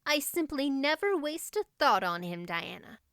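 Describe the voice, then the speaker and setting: A woman's voice: sits high, sing-song, moderate pace, a bit bright, dry recording, clean studio sound, pitched above the performer's own voice. A woman voicing a dreamy, dramatic thirteen-year-old girl in an audiobook, gushing and romantic. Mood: lofty. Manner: breathless, gushing, lofty at times. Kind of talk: audiobook character voice.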